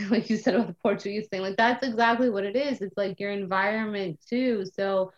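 Woman, podcast co-host, animated, acts out her thoughts, filler "like", mid pitch, slightly dull sound. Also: fairly quick. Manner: animated, acts out her own thoughts in quoted voices, many "like"s and "okay"s. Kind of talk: casual conversational podcast chat.

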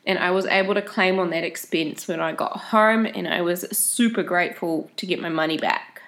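A woman's voice: on the low side, normal speed, a bit bright. Woman, stuffy nose from a cold, nasal, friendly explainer, casual vlog talk. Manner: friendly, chatty, explains step by step. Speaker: travel vlogger. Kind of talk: casual advice talk to camera.

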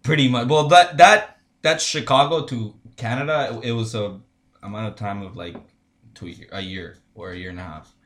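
A man's voice: mid-range voice, normal speed, fairly bright tone. A young man with a Spanish accent, a Spanish speaker from Honduras, chatting loosely as a podcast guest and laughing often. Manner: laid-back, laughs often, says bro a lot. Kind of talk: casual chat.

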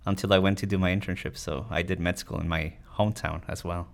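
Low-voiced man explaining in an interview. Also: fairly even intonation, fast talker, creaky. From Tijuana, Mexico. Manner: explanatory, often tagging points with "you know". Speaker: interview guest, physician. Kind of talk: conversational interview answers.

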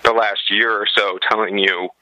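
A man's voice: lowish voice, flat delivery, moderate pace, sharp tone, no room sound, poor audio. A man giving blunt, emphatic opinions as a guest in a podcast interview.

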